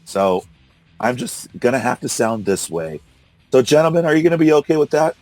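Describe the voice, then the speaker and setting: A hoarse man hosting a casual political discussion, arguing and incredulous. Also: medium pitch, animated, a little fast, a little mellow, dry recording, soft background music. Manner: argumentative, incredulous, laughs often. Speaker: podcast host. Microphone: call recorder.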